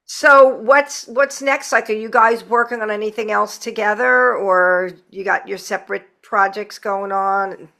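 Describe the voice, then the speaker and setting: A woman with a bright, forward voice at a middle pitch hosts a casual interview. She talks in an informal, conversational way as she puts questions to her guests. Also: fairly even intonation, a little slow, vocal fry, hardly any pauses. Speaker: podcast host.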